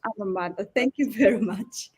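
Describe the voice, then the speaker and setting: A woman from Uganda, a retired accountant, introducing herself softly on a video call. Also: medium pitch, moderate pace, slightly rough. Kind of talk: casual panel chat.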